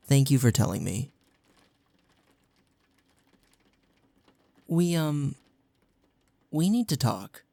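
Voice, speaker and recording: A man's voice: medium pitch, a little mellow, no echo. A young man acting in an intimate audio roleplay as a caring, teasing college best friend, talking casually and earnestly. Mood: gentle concern. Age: young adult.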